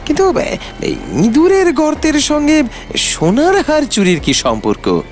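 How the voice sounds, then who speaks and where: An actor playing a flustered, anxious workshop owner in a cartoon, stammering and incredulous. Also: male voice, very high-pitched, wildly swooping pitch, measured pace, faint music behind, full character voice. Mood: shocked, incredulous. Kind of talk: animated detective story acting.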